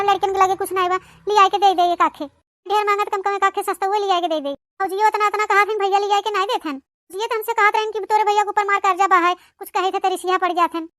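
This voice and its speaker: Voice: extremely high pitch, fairly bright tone, no room sound, clean studio sound. A cartoon voice playing plaintive village women of a squabbling family, with exclamatory chatter in an Awadhi accent from eastern Uttar Pradesh. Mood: aggrieved. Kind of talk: cartoon comedy dialogue.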